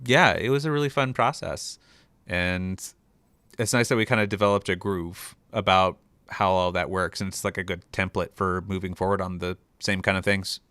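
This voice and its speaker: A man's voice: low voice, fairly even intonation, moderate pace, sharp tone, clear, resonant tone, no room sound. A man chatting casually and enthusiastically as a sound editor explaining a production process. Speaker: sound editor on an audio drama.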